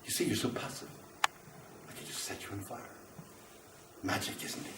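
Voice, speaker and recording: A man's voice: moderate pace, natural voice. An actor playing a volatile, boastful yet lonely man who believes he is fire, in a rambling theatrical monologue that swings between grandiose and apologetic. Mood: menacing. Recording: echoey room, distant mic, faint noise.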